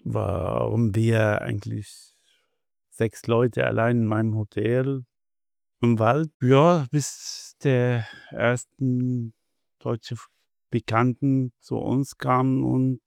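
Slow, gravelly-voiced man. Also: mid-range voice, steady tone, darkish tone, dry recording, clean studio sound. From Syria. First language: Arabic. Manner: halting, searching for words with many pauses. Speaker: interview guest, bank customer adviser. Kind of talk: casual interview answers.

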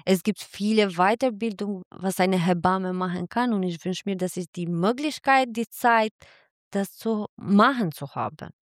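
A woman's voice: medium pitch, a little slow, dry recording, clean studio sound. A woman from Albania, a midwife whose first language is Albanian, answering interview questions cheerfully in German with many restarts and laughs. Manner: cheerful, laughs often, non-native German with frequent restarts.

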